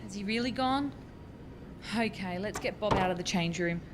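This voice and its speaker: An actress playing an earnest, bossy, harried young scientist in a radio farce, explaining things insistently. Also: female voice, medium pitch, normal speed, background noise, natural voice. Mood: harried, evasive. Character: earnest, bossy, harried female doctoral time-travel researcher.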